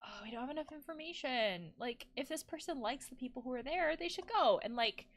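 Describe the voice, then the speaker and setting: A woman in her thirties giving casual, expressive game commentary, thinking aloud in a conversational way. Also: sits high, brisk. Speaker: counselor and video game streamer. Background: music.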